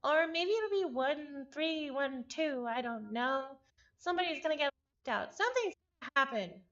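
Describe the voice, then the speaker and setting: High-pitched, animated woman reading a visual novel. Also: normal speed, highs cut off. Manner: playful, theatrical, breaks off into chatty asides. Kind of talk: visual novel read-along with casual reactions.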